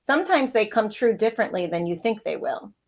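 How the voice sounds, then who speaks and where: A woman's voice: medium pitch, expressive, brisk. A woman reading the part of a loving old grandmother in a storybook, tender and earnest. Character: loving old grandmother, gentle, devout and wise.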